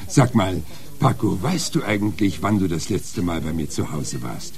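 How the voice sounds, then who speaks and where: A low, gravelly older man's voice, lively in its rise and fall. He is a genial, avuncular judge in a radio crime drama, chatting as an old family friend. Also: normal speed. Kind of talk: radio play dialogue.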